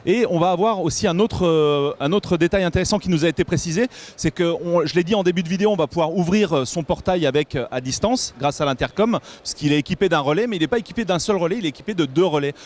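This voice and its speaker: A man with a French accent presenting a tech product in an explanatory way, often pausing and correcting himself. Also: a little high, a little mellow. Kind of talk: semi-improvised product presentation to camera. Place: busy trade show hall. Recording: noisy trade show floor.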